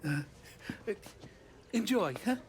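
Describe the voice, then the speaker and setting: An actor playing an eager-to-please, fawning Italian restaurateur with an Italian accent in a video game drama. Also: male voice, high voice, expressive, slow, slight background noise. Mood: welcoming, eager. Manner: fawning, exclamatory, hospitable.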